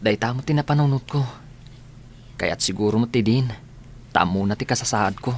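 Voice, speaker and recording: A man's voice: lowish voice, lively intonation, normal speed, a little hiss or hum, close to an everyday voice. A man playing a dutiful, determined, sickly young student in a radio drama, speaking in an earnest, reassuring way.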